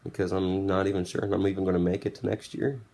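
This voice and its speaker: A man's voice: sits low, little pitch movement, quick pace, mellow. A man in his twenties giving a candid, blunt, casual motivational monologue. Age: 20s. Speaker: podcast host. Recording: music playing behind the voice. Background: background music.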